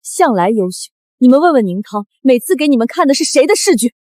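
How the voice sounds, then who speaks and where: A wronged teenage daughter in a short drama, pitched high and swinging widely. She speaks with bitter, defiant pride and a melodramatic edge. The sound is dry and close. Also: sing-song, brisk, a little mellow, dry recording. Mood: cold, defiant. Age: young adult. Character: wronged eighteen-year-old daughter, bitter, defiant, fiercely proud.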